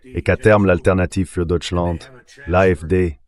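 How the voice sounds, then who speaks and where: A man's voice: low-pitched, steady tone, measured pace. A man's synthetic dubbing voice, speaking as a guest giving translated interview commentary.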